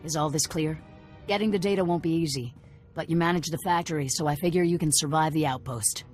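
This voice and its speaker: A woman's voice: low voice, fairly quick, darkish tone, soft background music, natural voice. Wry, tough female rebel leader played by a woman. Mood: businesslike. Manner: wry, sardonic, businesslike. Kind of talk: video game voice acting.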